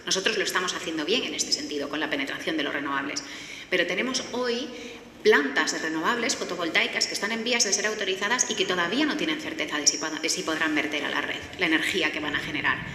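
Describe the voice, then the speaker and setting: A middle-aged woman from Galicia with an accent from Spain, giving a semi-formal public talk in an explanatory, structured way with hesitant pauses. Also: lowish voice, pushed and bright. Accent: Spanish from Spain. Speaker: lawyer giving a forum talk. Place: indoor forum hall. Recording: echoey hall, faint noise, roomy.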